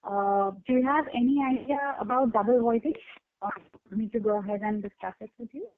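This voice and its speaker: Halting woman doctor on a phone line. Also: a little high, slight background noise. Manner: halting, many fillers and restarts. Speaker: doctor candidate in a role-play. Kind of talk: practice exam consultation.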